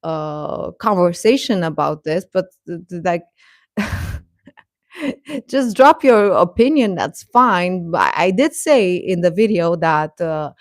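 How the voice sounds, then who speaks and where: A woman hosting a casual, opinionated live chat, speaking haltingly and defensively with repeated words. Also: on the low side, lively intonation, no room sound, studio quality. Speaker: livestream host and commentator.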